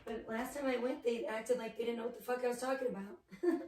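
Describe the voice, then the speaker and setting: A woman live streamer, hoarse and losing her voice, chatting bubbly and rambling with her viewers while making tea in her kitchen. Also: on the high side, fairly even intonation, brisk, a little mellow, slight background noise. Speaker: live streamer greeting chat. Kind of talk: casual live stream chat.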